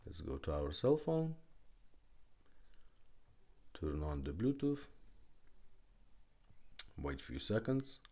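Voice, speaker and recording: A man's voice: deep voice, big pitch swings, slow pace, long pauses, warm tone. A man who speaks as a gives a casual, informal product demonstration with hesitant phrasing. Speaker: car radio restorer presenting a product.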